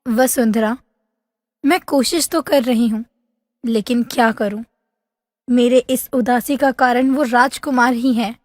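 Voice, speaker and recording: A wistful young fairy-tale princess, speaks very high and slowly in long hall reverb. Her delivery is plaintive, drawn-out and dramatic, full of lovesick longing. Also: fairly even intonation, a little mellow, studio quality. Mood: wistful, troubled. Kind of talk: animated fairy-tale voice acting.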